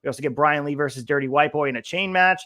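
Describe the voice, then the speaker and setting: A man hosts a podcast in an upbeat way, reading from his notes and throwing questions to his co-host. His voice sits a little higher than most men's. The close mic sounds dry and tight. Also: fast, bright, forceful tone, no echo. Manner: upbeat, asks questions, reads notes aloud. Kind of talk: casual chat, reading from old newsletters.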